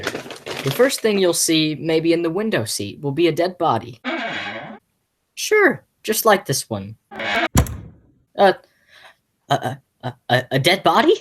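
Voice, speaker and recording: A teenage woman playing an agitated, horrified young man who stammers and exclaims in a high school radio play. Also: slightly rough, exaggerated voice. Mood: distracted, then shocked.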